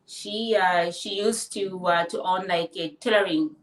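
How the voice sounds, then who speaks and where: A woman from the Democratic Republic of Congo, answering interview questions casually and haltingly, with many fillers. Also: lowish voice, fairly even intonation. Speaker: guest, fashion business owner and designer. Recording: roomy echo, distant mic.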